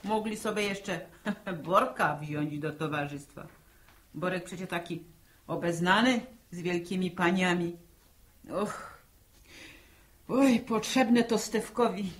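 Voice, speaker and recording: An actress playing a sharp-tongued middle-aged village mother who nags in folksy rural phrases, in 1960s Polish radio drama style. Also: lowish voice, slow, pauses often. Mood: grumbling. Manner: nagging, exasperated, folksy rural turns of phrase.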